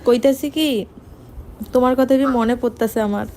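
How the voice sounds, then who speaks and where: A woman's voice: fairly high pitch, steady tone, moderate pace, warm tone. A young woman making a teasing prank phone call, coy, pouty and insistent. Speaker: prank caller. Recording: clear background noise.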